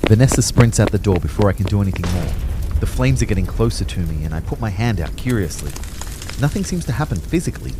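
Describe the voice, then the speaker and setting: A man with an Australian accent playing a bemused, wry first-person narrator in an audio drama, with a husky, expressive voice. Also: on the low side, quick pace, background noise, natural voice. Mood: tense.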